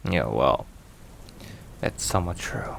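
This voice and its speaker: A man acts out a weary mafia boss looking after a partner in an intimate ASMR roleplay. His voice is very low and he takes his time, hushed and protective, with sighs between phrases. A faint wash of rain sits behind him. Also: lively intonation, slow pace, gravelly, a little hiss or hum, exaggerated voice. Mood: weary, confiding.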